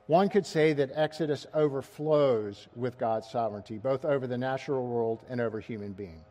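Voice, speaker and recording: A man's voice: mid-range voice, moderate pace, warm tone. A middle-aged man preaching an expository sermon, asking his listeners rhetorical questions and pressing key words with rising emphasis.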